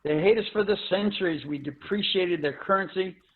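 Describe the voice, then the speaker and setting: A man's voice: high voice, brisk, lightly acted. A man voicing a starved, bitter, dying spacefarer in a dramatic reading, weary and theatrical. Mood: bitter anger. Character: Grito, worn, starved, bitter old spacefarer near death. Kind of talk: dramatic reading of a science fiction story. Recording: tinny, narrow-band video call audio.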